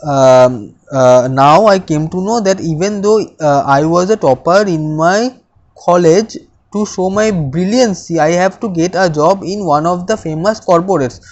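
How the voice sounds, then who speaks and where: A speaker, reading self-affirmations aloud slowly and deliberately, stammering with repeated words and restarts. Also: medium pitch, a little mellow, muffled recording. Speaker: speech practice coach.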